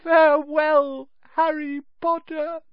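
A man voicing a small, excitable male elf servant in a storybook, shrill and squeaky, humble and fervently devoted. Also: slow pace, no room sound, muffled recording. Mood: tearful. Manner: speaks of himself in third person, servile, fervent.

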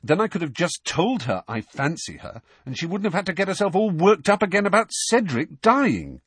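A man's voice: lowish voice, expressive, a little fast, husky, dry recording. A man voicing a baffled, easily flustered teenage boy wizard with an exasperated, spluttering manner in an audiobook. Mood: forceful.

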